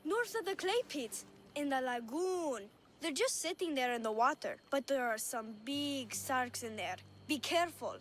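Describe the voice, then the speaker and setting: A woman's voice: high-pitched, lively intonation, a little slow, hardly any pauses, slight background noise. An actress playing an innocent, lonely little girl in a video game, earnest and playful. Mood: cheerful. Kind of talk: video game cutscene acting.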